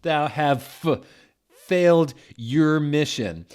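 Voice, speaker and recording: Casting: male reader as evil dark lord in a comic story reading. Raspy, commanding, slow archaic threats. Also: on the high side, lively intonation, voice pushed up. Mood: menacing.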